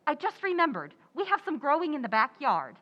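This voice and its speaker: A woman acts out an overconfident housewife in an old-time radio comedy. Her voice is high and swoops with theatrical bluffing. The recording is muffled. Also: sing-song, brisk. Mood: busy, confident. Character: stubborn, overconfident housewife who cannot cook. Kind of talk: old-time radio sitcom acting, live recreation. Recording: echoey hall, dull muffled sound.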